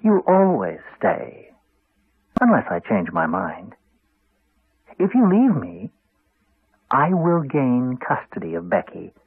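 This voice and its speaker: An actor playing a cold, contemptuous husband with a Canadian accent, speaking in a deliberate, cutting, condescending way in a radio drama. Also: male voice, medium pitch, wildly swooping pitch, husky, no echo, heavily acted. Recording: dull, band-limited 1980s radio recording.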